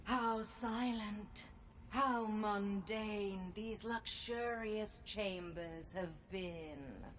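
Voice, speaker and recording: A proud and elegant swordswoman from a dark video game. She speaks very slowly, savoring ornate phrases with theatrical weight. Clear ambient noise sits behind her medium-pitched voice. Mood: haughty, melancholy. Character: proud, elegant swordswoman, eldest sister of embroiderers. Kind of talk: dramatic video game voice acting.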